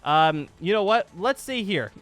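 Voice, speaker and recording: A man with a high-pitched voice chats casually and excitedly as a YouTube gaming host. He rambles and circles back to repeat his phrases for emphasis, with music playing behind him. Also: unhurried. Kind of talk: casual excited vlog chat over gameplay.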